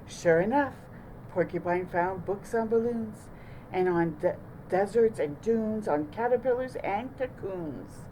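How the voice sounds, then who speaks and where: A woman narrating a children's picture book aloud in an expressive, deliberate way. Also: medium pitch, slight background noise, rough recording. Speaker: storytime host reading aloud.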